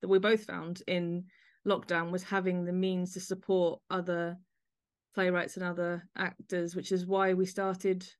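A woman's voice: on the low side, little pitch movement, measured pace, clean tone. A woman hosting a podcast, introducing and interviewing in a conversational style.

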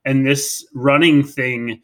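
Bright-voiced joking Midwestern man. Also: medium pitch, takes it slowly. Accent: Midwestern American. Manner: joking, animated, chatty. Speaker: podcast co-host interviewing. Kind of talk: casual interview chat.

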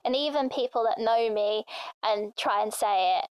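A woman's voice: medium pitch, normal speed, clear, resonant tone, no room sound, low-quality recording. A young woman from England being interviewed, talking casually and chattily with many fillers. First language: Thai. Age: young adult. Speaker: interview guest.

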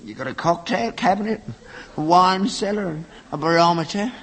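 Whining high-pitched old London man. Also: normal speed. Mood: needling. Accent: London working-class. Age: older. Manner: whining, pleading, melodramatic. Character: wheedling, clinging, self-pitying old rag-and-bone man, father. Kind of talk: scripted sitcom acting. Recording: echoey hall, noisy archive recording.

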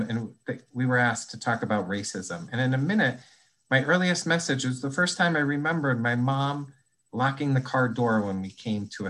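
A man's voice: medium pitch, fairly bright tone, low-quality recording. A man talking as a podcast guest, explaining ideas in a thoughtful, conversational way with frequent restarts. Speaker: guest, anti-bias trainer.

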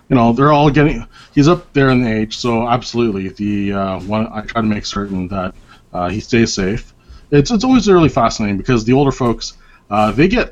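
A man as an interview guest, chatting casually with lots of fillers and restarts and a wry, self-deprecating manner. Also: on the low side. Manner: rambling, many fillers and restarts. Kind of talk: casual conversation. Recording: dull lo-fi audio.

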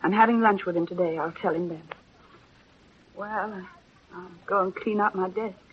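An actress playing an emotional, earnest young reporter in 1930s radio acting style, on a muffled old broadcast. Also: female voice, medium pitch, moderate pace, slight background noise, close to an everyday voice. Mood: bitter, resigned. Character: young woman newspaper reporter, torn and remorseful.

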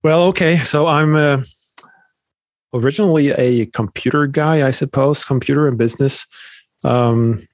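A man's voice: medium pitch, moderate pace, no room sound. A middle-aged man from Sweden, a professor answering interview questions thoughtfully, with many fillers and restarts. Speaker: economics professor guest.

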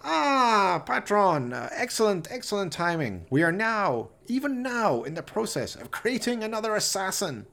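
A man playing an evil, excitable mad scientist in a radio play, pompous, theatrical and fawning toward his master. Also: high-pitched, moderate pace, gravelly, hardly any pauses, voice pushed up, exaggerated voice. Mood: fawning, nervous. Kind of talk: radio play villain acting.

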